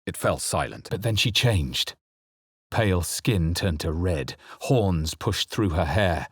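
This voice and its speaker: A brooding fantasy game hero with a very low, husky voice. He recounts dark visions in measured, ominous narration, recorded close and dry. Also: expressive, no echo, full character voice. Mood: dark, solemn. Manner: measured, solemn, ominous storytelling. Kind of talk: dramatic first-person scripted game narration.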